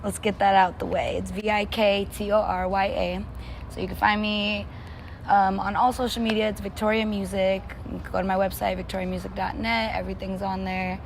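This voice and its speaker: A woman's voice: mid-range voice, flat delivery, brisk, creaky. A young woman born in Armenia and raised in Los Angeles, a music artist talking casually in an interview with upbeat, slang-heavy, laid-back speech. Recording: noticeable background noise.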